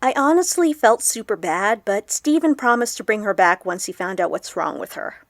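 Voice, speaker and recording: A woman playing an awkward, excitable young woman vlogger in an audio drama, chatty and expressive. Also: mid-range voice, normal speed, no room sound, studio quality, natural voice. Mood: exasperated, rambling. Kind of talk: audio drama acted as a confessional vlog.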